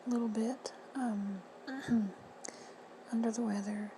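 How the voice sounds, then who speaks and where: A woman with a hoarse, husky voice giving plain, friendly step-by-step instructions in a casual how-to. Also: a little high, steady tone, slow. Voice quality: whispery, husky. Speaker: reseller tutorial host. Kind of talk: casual screen-recorded how-to. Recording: noisy home recording.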